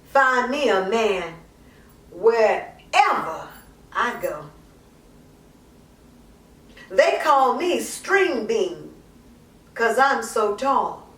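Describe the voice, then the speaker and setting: Woman playing a sassy, slow-talking flirtatious lady. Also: fairly high pitch, sing-song, a bit bright, heavily acted. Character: sassy, flirtatious women boasting of their charms. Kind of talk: playful dramatic poetry reading. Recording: roomy echo, distant microphone.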